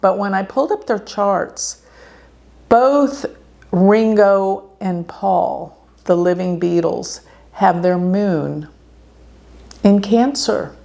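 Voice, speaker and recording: A woman astrologer giving an earnest, slow warning talk to her viewers, clearing her throat now and then. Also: mid-range voice, dark tone. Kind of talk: casual talk to camera.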